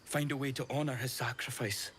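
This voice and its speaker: A man playing an anguished young cultist fighter in a fantasy video game, speaking in an urgent, halting, emotional way. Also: medium pitch, steady tone, fairly bright tone, slight background noise, natural voice. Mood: shaken resolve. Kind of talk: dramatic video game acting.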